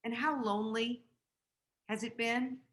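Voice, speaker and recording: A woman's voice: on the high side, fairly even intonation, unhurried, a bit bright. A woman reporter hosting an interview in a conversational broadcast style.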